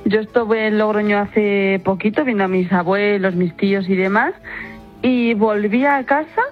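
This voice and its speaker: A woman's voice: mid-range voice, normal speed, muffled recording, soft background music. A woman from Madrid with a Madrid accent, chatting casually in a studio and telling personal anecdotes. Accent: Madrid Spanish.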